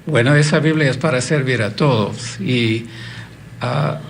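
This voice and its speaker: A man's voice: medium pitch, measured pace, gravelly, a little hiss or hum. A man explaining as a guest consultant on a television interview, with frequent fillers. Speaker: guest, Bible Society consultant.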